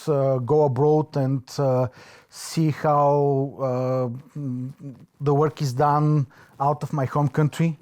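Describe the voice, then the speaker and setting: A man with a Bulgarian accent, reflecting in an interview, pausing often to search for his words. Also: on the high side, a little mellow. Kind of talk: reflective oral history interview.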